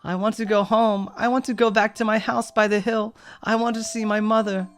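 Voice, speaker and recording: A man voicing a lonely young woman who is raising little star creatures; she speaks softly and turns tearful when upset. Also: high voice, level tone, a little fast, pitched above the performer's own voice. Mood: sobbing. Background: background music.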